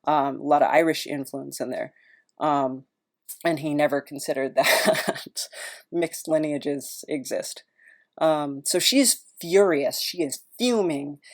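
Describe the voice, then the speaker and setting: A woman's voice: low voice, slow. A woman podcast host chatting in a casual, rambling, self-deprecating way.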